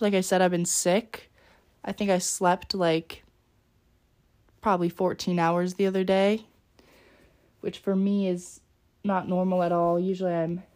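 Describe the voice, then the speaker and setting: A young woman talks through her solo podcast in a loose, rambling way, full of little fillers. Her voice sits a bit low and carries a light rasp left over from being sick. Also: darkish tone. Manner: chatty, rambling, with many fillers. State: slightly raspy from being sick. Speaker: podcast host. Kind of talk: casual solo podcast talk.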